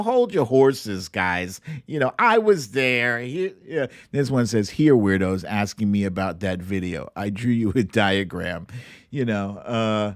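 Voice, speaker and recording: A man's voice: mid-range voice, dry recording. A man hosting a casual political commentary livestream in a chatty, incredulous, amused way.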